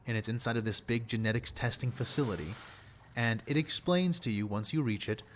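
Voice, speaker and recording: Slightly hoarse man explaining lore. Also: mid-range voice, a little fast. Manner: rambling, repeats words for emphasis. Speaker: channel host.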